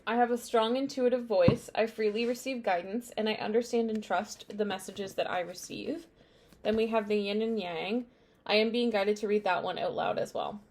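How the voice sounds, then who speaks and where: Young woman tarot reader, casual and rambling, mid-pitched, relaxed delivery. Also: moderate pace. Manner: chatty, meandering, many fillers and asides. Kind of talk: casual spiritual card reading.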